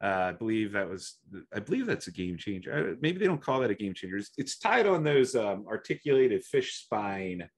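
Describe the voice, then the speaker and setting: A man's voice: fairly low pitch, big pitch swings, moderate pace, few pauses, husky. Man presenting, full of ums and restarts. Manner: hesitant, many fillers and restarts. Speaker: guest presenter. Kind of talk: online slide presentation. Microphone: video call.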